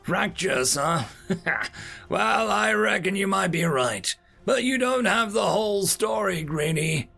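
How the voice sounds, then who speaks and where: Feisty, folksy veteran in his nineties, played by a male narrator; raised pitch, gravelly creak, taunting storybook delivery. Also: expressive, measured pace, hardly any pauses, bright, forceful tone, soft background music, full character voice. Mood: defiant, warning. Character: defiant, folksy 95-year-old male war veteran.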